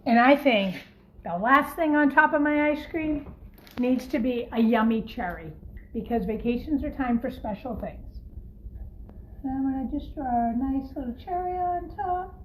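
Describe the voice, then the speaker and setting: A woman teacher gently and encouragingly talking young children through a craft in simple words as she demonstrates it. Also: sits high, a little slow. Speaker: primary school teacher leading a craft. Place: home kitchen, by the refrigerator. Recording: echoey room with faint background noise.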